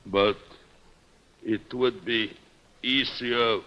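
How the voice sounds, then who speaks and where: An actor playing a distraught, jealous foreign husband in a radio drama, speaking halting broken English with a foreign accent. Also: male voice, medium pitch, fairly even intonation, smooth, muffled recording. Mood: pleading, confused. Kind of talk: old-time radio detective drama acting.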